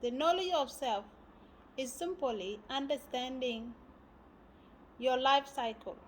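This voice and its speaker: A woman from South Sudan giving a spiritual talk, often pausing and searching for words. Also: high-pitched, a little hiss or hum. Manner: halting, searching for words with many fillers. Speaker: spiritual teacher.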